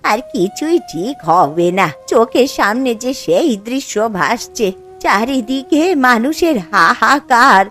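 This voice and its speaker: A woman's voice: a little high, lively intonation, measured pace, fairly bright tone, faint music behind. A woman doing a worried old village mother. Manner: anxious, mournful.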